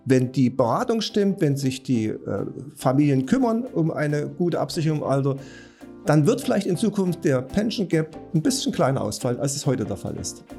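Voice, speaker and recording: An older man co-hosts a podcast in a husky, mellow voice. He talks thoughtfully and haltingly, repeating words as he restarts. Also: fairly high pitch, very animated, soft background music. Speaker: podcast co-host. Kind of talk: podcast interview hosting.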